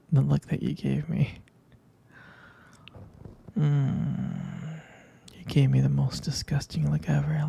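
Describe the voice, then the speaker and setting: A man playing a doting, gently teasing boyfriend in an ASMR roleplay, murmuring tenderly and hesitantly in a breathy, gravelly whisper. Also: fairly high pitch, exaggerated voice. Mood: fond, nostalgic. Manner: slow, tender, hesitant murmuring with pet names.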